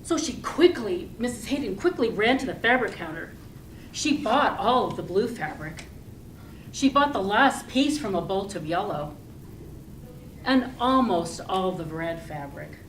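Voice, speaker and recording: A woman giving a rehearsed storytelling speech to an audience, speaking deliberately and expressively with pauses. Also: medium pitch, animated. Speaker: club speaker giving a tall tale speech. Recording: roomy, distant mic with loud noise.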